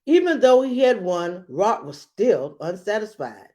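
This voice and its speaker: A woman's voice: medium pitch, sing-song, a little slow, noisy background. A woman speaking as a teacher, explanatory and emphatic, giving a lesson and reading a storybook. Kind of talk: read-aloud lesson and storybook narration.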